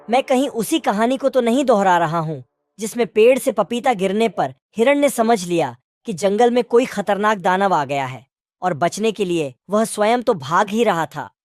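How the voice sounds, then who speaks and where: A woman voicing a sensible male jackal in a children's animated story, questioning and reasoning as he talks. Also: mid-range voice, dry recording, studio quality, natural voice. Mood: doubtful, reasoning.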